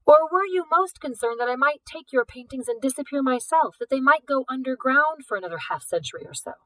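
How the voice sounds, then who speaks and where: A woman's voice: high voice, expressive, a little fast, fairly bright tone, no room sound, distant mic, voice pushed up, low-quality recording. A woman voicing a world-weary teenage girl thief in a storybook, with a terse, challenging manner. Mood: challenging, flat.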